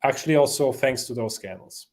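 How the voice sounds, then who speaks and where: A man from Switzerland answering interview questions, pausing with many fillers and restarts. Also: mid-range voice, a little mellow. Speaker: interview guest.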